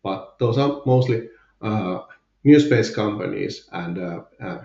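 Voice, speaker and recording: A man's voice: fairly low pitch, expressive, slow pace, vocal fry, mellow, reverberant, dull audio. A man with a Finnish accent, a guest in a candid podcast interview, speaking hesitantly with many fillers. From Finland. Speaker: guest, company CFO.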